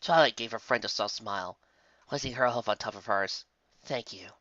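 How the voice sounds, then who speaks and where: A man reading a story as a scripted narrator, with dramatic, expressive delivery on a muffled, dry home recording. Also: fairly low pitch, fairly quick, no echo. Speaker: fan fiction narrator.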